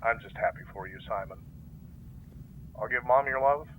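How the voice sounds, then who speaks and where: A man playing a stern middle-aged fleet commodore and father, gruff and commanding, speaking over faint noise. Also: mid-range voice, normal speed, a bit bright, poor audio. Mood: softening.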